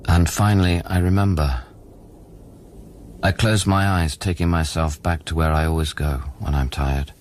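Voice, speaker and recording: An actor playing a haunted, awestruck scientist, delivering a solemn, brooding first-person monologue in an audio play. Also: male voice, rumbling low, a little mellow. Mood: wistful, haunted. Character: haunted, awestruck male scientist recalling an alien world. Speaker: narrator and lead actor. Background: music under the voice.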